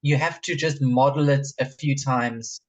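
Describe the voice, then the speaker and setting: A man speaking as an online teacher in a casual group discussion, halting and repeating words as he thinks. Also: medium pitch, steady tone, soft background music.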